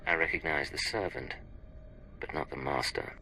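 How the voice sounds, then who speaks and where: Gravelly, very low, hard-edged male secret agent. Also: animated, moderate pace, sharp tone, a little hiss or hum, exaggerated voice, low-quality recording. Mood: cold resolve. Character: cold, hardened male Imperial intelligence agent. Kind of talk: video game cutscene acting.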